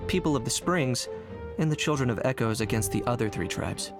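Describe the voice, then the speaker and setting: A man acts a young warrior in a video game, mid-pitched and easygoing. The character talks casually and matter-of-factly with a wry edge, over clearly audible music. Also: expressive, brisk, natural voice. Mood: relaxed, decisive.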